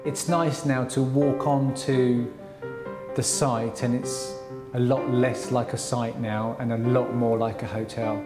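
A man's voice: mid-range voice, little pitch movement, slow. Middle-aged man over background music. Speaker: property developer. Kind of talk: documentary interview. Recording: music under the speech.

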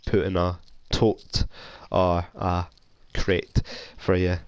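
A man's voice: low-pitched, little pitch movement, slow, clear, resonant tone, no echo. A young Scottish man with a cold, rambling casually and self-deprecatingly while playing a video game. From Scotland. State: has a cold. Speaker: gaming YouTuber. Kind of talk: casual gameplay commentary. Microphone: console headset mic.

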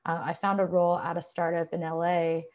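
A woman's voice: lowish voice, flat delivery, brisk, darkish tone. A woman in her thirties talking on a video call panel in a casual, chatty way, through earphones from a room at home. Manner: chatty, lots of "like" and "you know". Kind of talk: casual career talk on a panel. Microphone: earphone headset mic on a video call.

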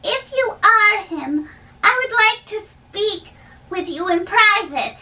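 A woman's voice: very high-pitched, animated, takes it slowly, sharp tone, voice pushed up, exaggerated voice. A young woman voicing an indignant old female servant in a stage play, with a sing-song, exclaiming manner. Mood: haughty. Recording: distant camera in a bedroom.

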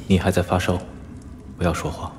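A man's voice: sits low, little pitch movement, fairly quick, background noise, natural voice. A male voice actor playing a stoic, stern young nobleman swordsman in a live audio drama, speaking in terse, restrained phrases. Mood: stern, calm. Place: large theatre stage, indoors.

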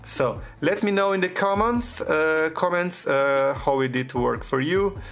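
Gravelly-voiced man explaining a phone fix. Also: a little high, measured pace, a bit bright, faint music behind. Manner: plain, patient instructions with frequent hesitations. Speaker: phone repair tutorial host. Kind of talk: step-by-step how-to narration.

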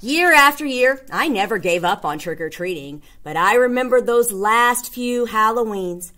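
A woman's voice: on the high side, animated, moderate pace, pushed and bright. A woman reading a children's picture book aloud, expressively and with emphatic delivery. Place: indoors, small classroom or office.

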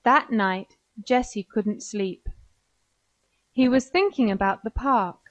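A woman's voice: fairly high pitch, slow pace, no echo. A woman with an American accent narrating a scripted children's story.

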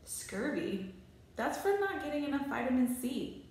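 An earnest, excitable schoolboy in a children's storybook voiced by a woman. He sounds lively and rather high. There is strong room echo around him. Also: measured pace, heavily acted. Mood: puzzled, earnest. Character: eager, excitable schoolboy best friend. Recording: very echoey small bare room.

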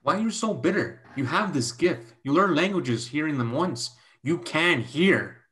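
A man reading the part of a gentle young Chinese mathematician and tutor in a staged play reading, a little haltingly. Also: on the high side, expressive. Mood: hurt, reproachful. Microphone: video call.

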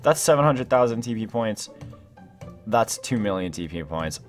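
A young man giving excited, casual gameplay commentary, rambling and thinking aloud in slangy exclamations. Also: lowish voice, normal speed, soft background music. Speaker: gaming video host.

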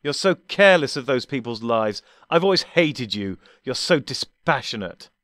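A man's voice: a little high, expressive, measured pace, a bit bright, no echo, studio quality, voice pushed up. A man playing an indignant, raised-voice accuser. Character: agitated man, younger self, confrontational.

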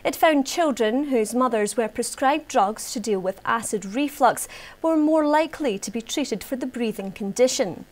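Woman newsreader, Scottish accent, high voice, formal, measured, studio-recorded. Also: lively intonation, darkish tone. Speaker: news presenter. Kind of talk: scripted news reading. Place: TV news studio. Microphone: lapel mic.

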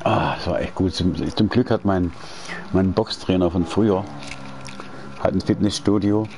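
Deep-voiced man rambling over race-car noise. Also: normal speed. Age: 40s. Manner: relaxed, rambling, talkative with slang and restarts. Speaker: sim racing streamer. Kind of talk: casual chat while gaming. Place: indoors at home. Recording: racing game engine noise behind. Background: car engine sounds from the game.

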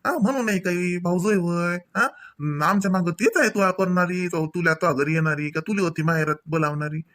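Cartoon village elder , played by a man: fair-minded father-in-law, fairly high voice, Varhadi Marathi accent, admonishing with questioning tags. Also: moderate pace, a bit bright. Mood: gently advising. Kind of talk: Marathi comedy cartoon dialogue.

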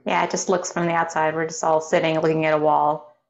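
A woman's voice: low voice, level tone, a little fast, gravelly, a little hiss or hum. A woman casually explaining meditation practice as an interviewee, over muffled video call audio. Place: indoor room on a video call.

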